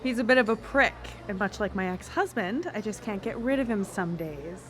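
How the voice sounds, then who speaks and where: A woman's voice: mid-range voice, animated, normal speed, faint music behind, lightly acted. An actress in a radio drama playing a blunt, seductive, worldly woman on a date. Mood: brash, wry. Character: brash, seductive woman, worldly former Vegas dancer on a date.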